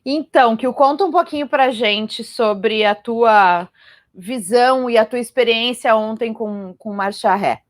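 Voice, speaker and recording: Higher-pitched woman presenting. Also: measured pace, no room sound, studio quality. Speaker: program host, journalist. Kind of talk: presenting a live online festival program, conversational.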